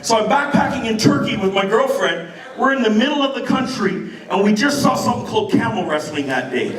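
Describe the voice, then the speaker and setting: High-voiced Canadian man telling stories, echoey hall. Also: fairly quick. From Canada, near Detroit on the Canadian side. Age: middle-aged. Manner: animated, slangy, informal, acts out dialogue. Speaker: b-boy storyteller. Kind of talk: live storytelling to an audience. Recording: echoey hall from far off, crowd around. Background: audience crowd and laughter.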